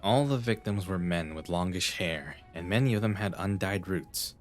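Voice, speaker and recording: A man's voice: deep voice, animated, moderate pace. A man playing an eccentric young genius detective, intense and flippant, in an anime fan dub. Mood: calm, explaining. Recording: voice over background music.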